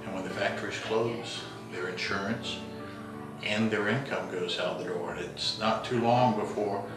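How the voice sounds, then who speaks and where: A man's voice: on the low side, steady tone, normal speed. A man in his sixties speaking reflectively in an interview as a health professional. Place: office indoors. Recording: very echoey, distant, loud music underneath. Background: guitar music.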